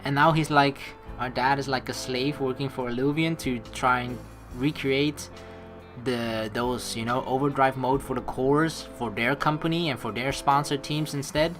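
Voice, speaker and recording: A man's voice: mid-range voice, steady tone, normal speed, over music. A man hosting a let's play, mixing read-aloud narration with rambling, profane casual commentary. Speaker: let's play host reading game text aloud.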